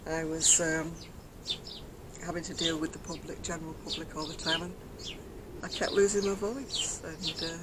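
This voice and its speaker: An older woman talks casually to her viewers in a very soft, quiet voice that she cannot raise, rambling and gently poking fun at herself. She is outdoors on a decking with a camera close in front of her. Loud outdoor noise runs behind her voice the whole time. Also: deep voice, little pitch movement, normal speed. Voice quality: very soft, quiet voice after throat surgery. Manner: chatty, rambling, self-mocking, laughs softly. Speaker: craft vlogger. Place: outdoors on a decking by a brick wall. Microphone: camera in front at close range.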